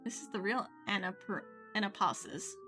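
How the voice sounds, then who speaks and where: A woman's voice: mid-range voice, normal speed. A woman streamer reading video game dialogue aloud expressively, with casual offhand remarks. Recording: music behind the voice. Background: video game music.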